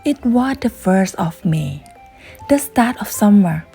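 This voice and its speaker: A woman narrates a children's bedtime story with dramatic, deliberate phrasing. Her voice is lively in pitch, slow-paced and a little dark in tone, with music quietly underneath. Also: creaky.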